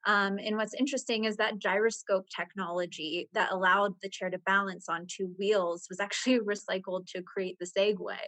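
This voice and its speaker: Woman reporter explaining haltingly, close dry sound. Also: mid-range voice, steady tone, a bit bright, dry recording. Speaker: reporter and podcast presenter. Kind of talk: conversational explaining of a story.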